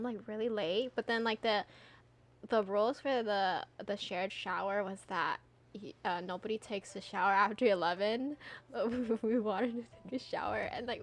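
A young woman streaming a game, chatting casually and animatedly with her viewers, laughing often and trailing off into filler words. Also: on the high side. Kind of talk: casual gameplay chat with chat readers.

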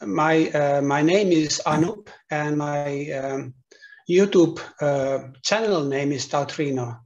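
A man's voice: on the high side, takes it slowly, darkish tone, highs cut off. A middle-aged man, hosting an interview over a video call and phrasing his questions carefully and hesitantly. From Finland. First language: Finnish. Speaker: interviewer and channel host.

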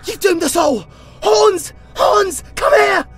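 A man's voice: very high voice, sing-song, slow, vocal fry, exaggerated voice. A man playing a panicked, desperate person fleeing disaster in a horror audio drama. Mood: panicked, shouting. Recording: loud music over shouted voice.

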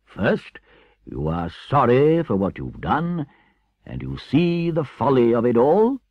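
A man's voice: medium pitch, very animated, no echo, lo-fi sound, pitched above the performer's own voice. A man voicing a stern, righteous older badger who speaks with solemn, commanding oratory in a storybook reading. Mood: solemn, satisfied. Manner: solemn, slow, emphatic oratory.

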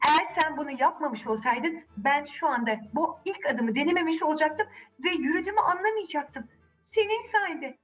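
Woman as a gentle, emotional high-voiced girl. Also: moderate pace, far from the mic, muffled recording, soft background music, lightly acted. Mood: tender, grateful. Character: gentle, earnest girl who uses a wheelchair.

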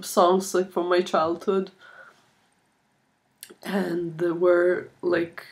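A woman in her twenties chatting casually to camera in a halting, thoughtful way, recorded on a laptop microphone in a small room. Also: on the low side. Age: 20s. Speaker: video blogger, art student. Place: small furnished room indoors. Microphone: laptop built-in microphone at arm's length.

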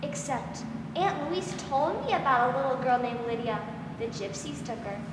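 A woman's voice: high voice, moderate pace, natural voice. A woman playing a curious, earnest little girl in a 1940s-style radio drama. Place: school theater stage. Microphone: stand microphone, masked performer. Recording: very echoey noisy theater hall, distant.

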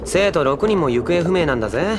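Man acting brash teen boy, foul-mouthed, hot-tempered, slangy, lightly high male voice, highly animated, anime audio drama. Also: fast, background noise, natural voice. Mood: cocky. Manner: rough, slangy, blunt. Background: loud music.

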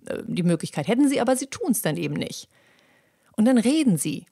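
A woman hosting a podcast, explaining how the voice works in a lively, chatty monologue with many fillers and repeats. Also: medium pitch, vocal fry, slightly rough. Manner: lively, acts out little dialogues in quick voices; frequent fillers and repeated words. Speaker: podcast host and voice coach.

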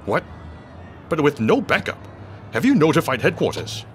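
A man's voice: fairly high pitch, sing-song, normal speed, slight background noise. An actor playing a strained police officer caught between orders and fear for his family. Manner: strained, uneasy. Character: anxious male police officer torn by orders. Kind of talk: audio drama acting.

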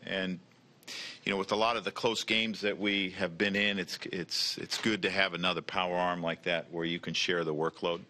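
A man in his forties, a baseball manager, answering reporters at a press conference table mic, measured and often repeating words as he starts. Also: deep voice, flat delivery, pushed and bright. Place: press room in front of a team backdrop. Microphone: table mic with a logo flag.

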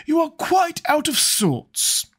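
A man voicing a witty, cynical aristocratic gentleman with a languid drawl. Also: very high-pitched, wildly swooping pitch, slow pace, voice pushed up, full character voice.

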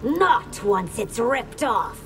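A woman's voice: on the high side, lively intonation, extremely slow, soft background music. An actress voicing a fierce, taunting masked female assassin.

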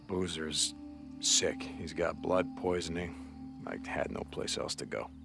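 An actor with an American accent playing a gruff, weary biker drifter in a video game, with a gravelly voice and a defensive manner. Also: male voice, a bass voice, steady tone, unhurried, over music, exaggerated voice. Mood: tense, guarded. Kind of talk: naturalistic video game acting.

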